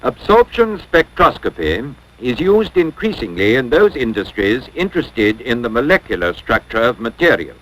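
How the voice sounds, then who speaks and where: Lively-pitched 1950s educational film narrator, male. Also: poor audio. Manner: measured, formal, instructional.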